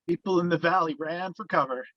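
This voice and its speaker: A man's voice: fairly high pitch, a little fast, no room sound, dull audio, lightly acted. A man playing a wry narrator who recalls boyhood in first person, reading from a script. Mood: reminiscent.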